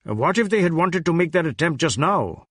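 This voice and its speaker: A man voicing an impatient, excitable wealthy manufacturer in a crime audiobook, eager and urgent. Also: fairly high pitch, normal speed, husky, dry recording, clean studio sound, pitched above the performer's own voice, heavily acted.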